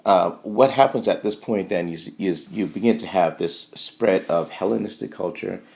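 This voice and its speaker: A man lecturing informally in a halting, think-aloud way, often checking in with short tags as he explains. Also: low voice, creaky, darkish tone.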